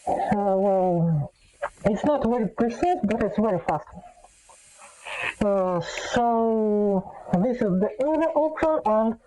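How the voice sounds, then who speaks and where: Woman, mellow tone, slow halting delivery, casual explainer, lots of ums. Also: mid-range voice, animated, rough recording. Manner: halting, hesitant, frequent long filled pauses. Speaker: video host. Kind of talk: casual unscripted explanatory talk.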